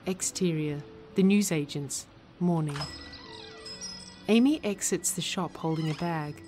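Low-pitched, measured female narrator. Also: mellow, soft background music. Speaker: narrator reading stage directions. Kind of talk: scripted narration.

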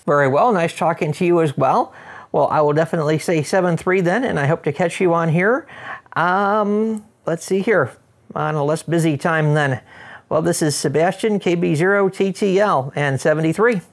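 A man's voice: fairly high pitch, moderate pace. A middle-aged man with an American accent presenting an instructional demonstration with casual radio chat, methodical in his delivery, recorded in an indoor studio. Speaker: presenter, ham radio operator. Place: indoor studio with wood-panel backdrop.

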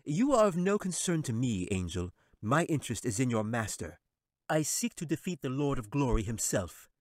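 A man's voice: very animated, normal speed, darkish tone, no room sound, clean studio sound. A man voicing a scheming male wizard villain in a storybook reading, sly and mocking. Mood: cold. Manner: taunting, sly, rising high.

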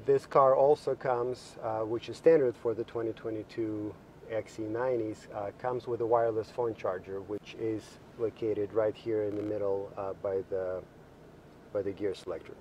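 A man's voice: lowish voice, steady tone, moderate pace, a little mellow, muffled recording, slight background noise. A man working as a car dealership product specialist, walking viewers through a car's features from inside it, with plenty of ums and restarts. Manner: explains features with frequent fillers and restarts. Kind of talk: car walkthrough video. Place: inside a car in a parking lot.

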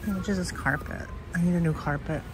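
A woman's voice: fairly low pitch, quick pace. A woman vlogger chatting casually to herself as she browses a large thrift store, filmed on a handheld phone. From Canada. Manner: chatty, musing aloud while browsing. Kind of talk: casual thrift shopping commentary. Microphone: handheld phone camera. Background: background music.

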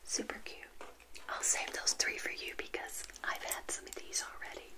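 A middle-aged woman whispering gently and intimately in an ASMR roleplay as a friendly witch hostess, close to the mic. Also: poor audio. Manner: gentle, unhurried, intimate personal attention. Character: friendly witch hosting a tea party. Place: small indoor set, seated. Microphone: close to the mic, facing the camera.

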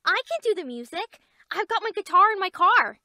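A woman's voice: extremely high pitch, big pitch swings, a little fast, bright, forceful tone, no room sound, studio quality, heavily acted. A woman voicing an eager young musician friend in a cartoon. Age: young adult. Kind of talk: animated cartoon acting.

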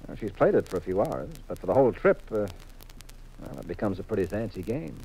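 Wry old radio detective guy, lowish voice, easygoing and dryly amused, 1950s style. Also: sing-song, fast, gravelly, mellow, slightly rough, exaggerated voice, poor audio. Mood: reluctant, amused. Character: easygoing, wry male detective, a married man. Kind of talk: 1950s radio drama acting.

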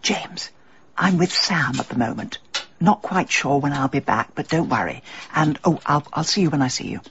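A woman's voice: low-pitched, animated, moderate pace, a bit bright. An actress playing a polite, sympathetic middle-aged woman sleuth in a radio drama, tactful and a little hesitant. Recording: slightly dull, noisy recording.

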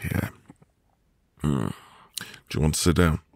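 A man playing a tender, devoted newlywed husband in a soft, intimate, romantic ASMR roleplay with lingering pauses. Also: rumbling low, gravelly, dry recording, heavily acted. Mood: adoring, caring. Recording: music behind the voice. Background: music.

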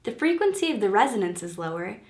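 A woman in her twenties giving a scripted educational explanation as a science presenter, in a measured demonstration delivery. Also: mid-range voice, sing-song. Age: 20s. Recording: echoey room with background noise.

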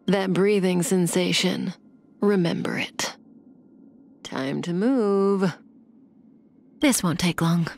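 An actress playing a calm, seductive female assassin in a video game, with a breathy, velvety voice and a languid, teasing delivery. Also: fairly low pitch, full character voice. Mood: cool, menacing. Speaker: game character voice actor. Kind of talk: scripted video game character voice lines. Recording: clean studio voice over game music.

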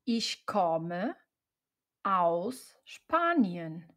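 A woman reading short example sentences for learners, saying each one twice in clear, separate chunks. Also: on the low side, big pitch swings, extremely slow. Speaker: German teacher.

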